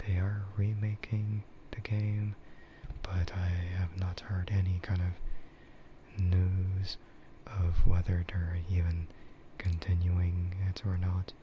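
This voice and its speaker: A man with a low, soft voice that often slips into a whisper, rambling slowly as he streams ASMR. His delivery is relaxed and hesitant. The recording is muffled, with clear noise behind him. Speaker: livestreamer.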